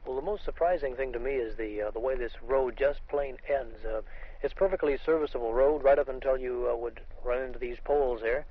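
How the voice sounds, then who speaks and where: A man's voice: medium pitch, a little fast. An American man working as an army radio reporter, asking conversational, hesitant questions in an interview outdoors on location. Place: outdoors in the field. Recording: dull lo-fi vintage field radio recording.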